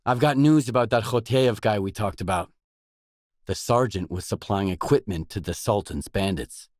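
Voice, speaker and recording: An actor playing a wary, world-weary stalker, the blunt protagonist of a video game, in terse acted dialogue. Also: male voice, on the low side, moderate pace, dry recording, clean studio sound, close to an everyday voice. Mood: businesslike.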